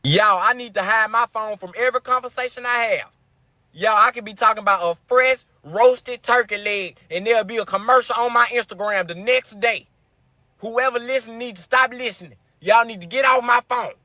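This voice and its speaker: A man's voice: very high voice, fairly quick, bright, forceful tone, no echo. A man giving a casual comic rant.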